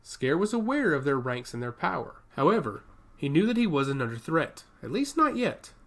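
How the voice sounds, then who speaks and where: Man narrating fantasy, lively swooping pitch. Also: moderate pace. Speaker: audiobook narrator. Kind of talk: scripted fantasy story narration.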